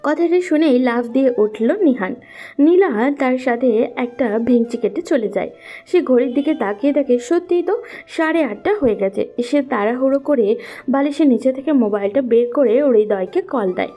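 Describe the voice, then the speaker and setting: A woman's voice: high voice, animated, moderate pace, mellow, faint music behind. A woman narrating a scripted romantic story as a storyteller.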